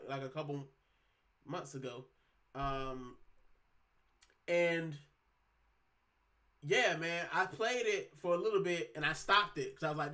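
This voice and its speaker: A man's voice: a little high, sharp tone. A man in his thirties from Puerto Rico, hosting a casual livestream at home, rambling in slang and reading out chat comments. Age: 30s. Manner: chatty, rambling, slangy, many fillers. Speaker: livestream host.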